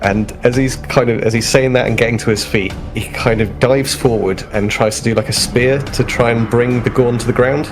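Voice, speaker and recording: Mid-pitched man playing a tabletop game. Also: fairly even intonation, normal speed, hardly any pauses. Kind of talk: casual chat, describing own character's moves.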